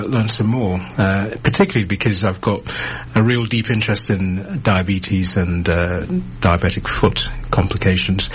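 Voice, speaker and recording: A man from the UK with a British accent, a vascular surgeon speaking as a radio guest in a studio, measured and polite with frequent fillers. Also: on the low side, fairly bright tone, a little hiss or hum, rough recording. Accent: British English. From born in Ethiopia, raised in the UK. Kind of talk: interview guest.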